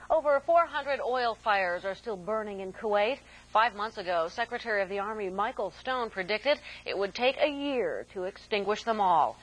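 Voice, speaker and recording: An American woman working as a news anchor, reading scripted news in a formal, measured announcer delivery. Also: mid-range voice, fairly bright tone, rough recording. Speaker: military network news anchor.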